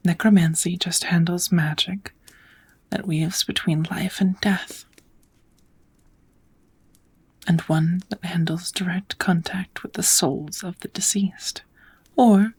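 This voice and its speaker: A woman voicing a kind, knowledgeable witch who gently teaches magic in a measured, whispered ASMR roleplay. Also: lowish voice, exaggerated voice. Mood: gently instructive. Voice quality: soft, breathy whispering.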